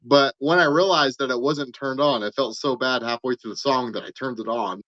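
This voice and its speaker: A man's voice: mid-range voice, fairly quick, fairly bright tone, no room sound. Guy guest telling stories all hesitant, kinda muffled dry mic. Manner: hesitant, self-deprecating storyteller. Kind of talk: casual chat. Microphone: off camera, remote call.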